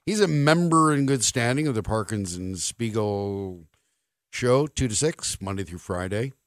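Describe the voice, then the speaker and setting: A man's voice: on the low side, expressive, a little slow, dry recording, clean studio sound. An American man hosting a sports talk radio show, with a wry, opinionated and emphatic delivery.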